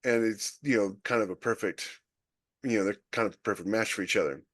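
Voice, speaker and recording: A man's voice: fairly low pitch, brisk, fairly bright tone, no echo, studio quality. A man co-hosting a podcast panel, chatting casually about writing, rambling with lots of restarts and fillers. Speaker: podcast co-host, author.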